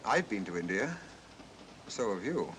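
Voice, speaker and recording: An actor playing an affable, quietly shrewd gentleman with a smooth, reasoning manner in an old mystery film. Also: on the low side, very animated, measured pace, rough recording. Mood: agreeable. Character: urbane, affable, quietly shrewd gentleman, doctor's drinking companion. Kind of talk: 1940s mystery film acting. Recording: hissy old film soundtrack.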